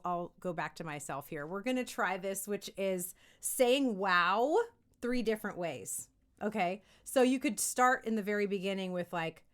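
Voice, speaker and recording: A woman giving an upbeat, conversational lesson as a voice acting coach, animated and encouraging, often checking in with listeners. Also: mid-range voice. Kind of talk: upbeat instructional talk to camera.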